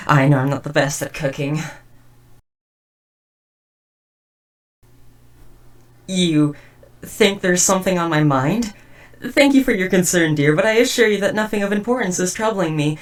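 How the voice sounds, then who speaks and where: A woman's voice: low voice, recorded from a distance. A woman playing a socially awkward, devoted but murderous robot house spouse in a roleplay audio drama, speaking in stiff, wordy, formal phrases. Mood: worried. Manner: stiff, verbose, overly formal wording. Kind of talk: scripted roleplay audio drama addressed to listener.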